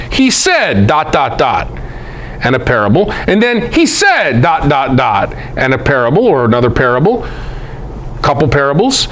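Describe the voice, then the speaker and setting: High-pitched, animated man lecturing. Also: slight background noise. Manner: emphatic, rhetorical questions and repeated phrases. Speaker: lecturer teaching a group. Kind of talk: conversational Bible lecture to an audience.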